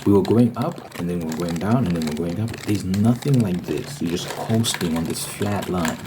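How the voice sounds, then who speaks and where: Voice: sits low, normal speed. A speaker giving a casual, opinionated ASMR ramble, emphatic and repeating points to drive them home. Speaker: host. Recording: clearly noisy background.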